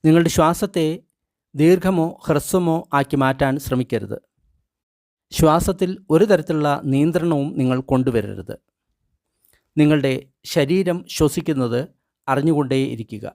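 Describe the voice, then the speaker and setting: Male meditation guide, fairly high pitch, scripted instructions in short paused phrases, very dry sound. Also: gravelly, a little mellow, no echo. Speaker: meditation guide reading a translated book.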